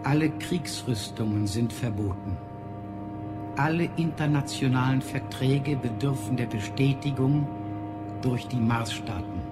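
An actor playing an authoritative alien ruler who proclaims his dominion in a deliberate, formal radio drama manner. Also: mid-range voice, lightly acted. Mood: stern, commanding. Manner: commanding, deliberate. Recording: music behind the voice.

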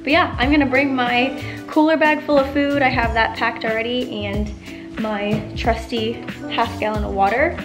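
A woman's voice: a little high, fairly even intonation, moderate pace, music in the background. Chatty upbeat young woman vlogging at home. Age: young adult. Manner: chatty and upbeat, frequent "like" fillers. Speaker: fitness vlogger. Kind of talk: casual vlog talk to camera. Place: home kitchen, indoors. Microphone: camera at arm's length, then camera on a tripod.

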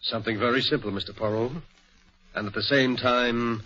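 A man's voice: mid-range voice, steady tone, normal speed, pushed and bright, close to an everyday voice. An actor playing an earnest, insistent stockbroker father in a 1940s radio drama. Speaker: client. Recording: dull old radio broadcast.

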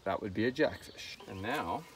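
Low-voiced man demonstrating fish filleting. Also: normal speed, recorded from a distance, very noisy. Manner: relaxed, talks while working, repeats "see that". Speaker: fishing video host. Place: outdoor fish cleaning table.

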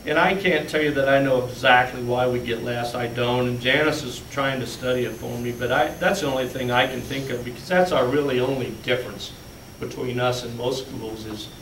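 A man plainly walks a board through budget handouts, pitch sitting in the middle of the range. He sounds far from the microphone in a roomy, echoing meeting hall. A faint hum runs underneath him. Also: steady tone, brisk, hardly any pauses, fairly bright tone. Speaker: school business official presenting budget. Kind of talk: explaining figures at a school board meeting. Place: large meeting room with fluorescent lights. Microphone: far room camera. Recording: echoey room, distant mic, faint hum.

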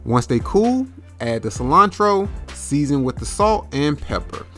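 Lively-pitched man reading a recipe. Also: measured pace, faint music behind. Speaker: host of a cooking demonstration.

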